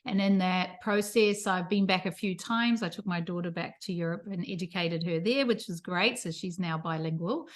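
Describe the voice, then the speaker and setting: A woman's voice: on the low side, normal speed, hardly any pauses. A woman in her fifties, originally from the Netherlands, who teaches yoga and talks in a reflective, easygoing way as an interview guest. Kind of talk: casual interview chat.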